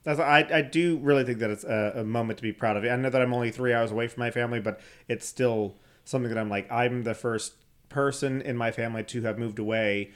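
A man's voice: mid-range voice, steady tone, quick pace. A young man co-hosting a podcast, talking candidly and casually, often laughing and swearing. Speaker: podcast co-host. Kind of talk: casual chat.

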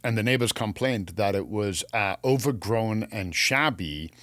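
A man's voice: lowish voice, unhurried, a bit bright, dry recording. A man from England co-hosting a gardening show, chatting casually and opinionatedly, telling stories with acted-out quotes.

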